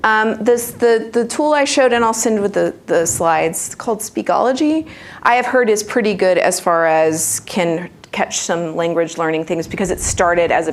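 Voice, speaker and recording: Woman musing aloud with many restarts. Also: on the low side. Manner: thoughtful, frequent restarts and fillers. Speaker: guest speaker on AI in teaching. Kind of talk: conversational presentation Q&A.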